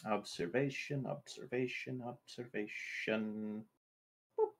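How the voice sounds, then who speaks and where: A man's voice: lowish voice, fairly even intonation, takes it slowly, noisy background. A man chatting casually as a player in a tabletop roleplaying game, offhand and given to swearing.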